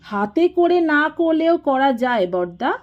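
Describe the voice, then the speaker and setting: A woman's voice: high voice, big pitch swings, slow, lo-fi sound, voice pushed up, exaggerated voice. A woman voicing a grave, resentful younger brother in an audio storybook, speaking in a pointed, accusing way.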